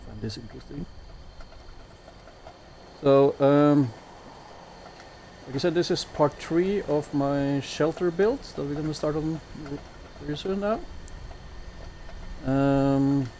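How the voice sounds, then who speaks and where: A man's voice: fairly high pitch, slow, pauses often, warm tone, a little hiss or hum, low-quality recording. A man with a Norwegian accent gives easygoing, chatty commentary as a game streamer, with self-mocking jokes. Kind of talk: casual commentary while playing a building game.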